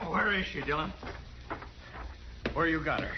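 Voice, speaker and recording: An actor playing a mean, stubborn frontier husband with an American accent and rough, threatening speech in a 1950s radio western. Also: male voice, on the high side, expressive, unhurried. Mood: angry, demanding. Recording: muffled, noisy vintage radio recording.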